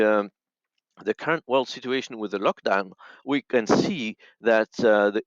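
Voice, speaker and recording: A man speaking as an interview guest, explaining things in a thoughtful, measured and earnest way. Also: medium pitch, a bit bright, dry recording. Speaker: podcast guest, radio ministry worker. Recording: slightly dull, dry audio.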